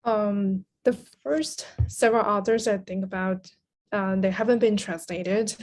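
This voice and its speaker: Mid-pitched woman, thoughtful podcast guest. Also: fairly even intonation, unhurried, darkish tone. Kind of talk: casual interview talk.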